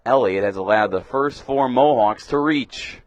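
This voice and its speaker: A young man calling live baseball play-by-play for radio in a crisp announcer delivery, punching up the pitch calls. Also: measured pace, no echo, lo-fi sound. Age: young adult. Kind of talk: live sports commentary.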